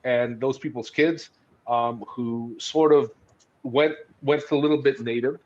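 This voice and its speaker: Halting man on a dull lo-fi recording. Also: mid-range voice, fairly even intonation, darkish tone. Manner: halting, hesitant, thinking aloud. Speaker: podcast host discussing books. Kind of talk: conversational history discussion. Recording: dull, lo-fi audio.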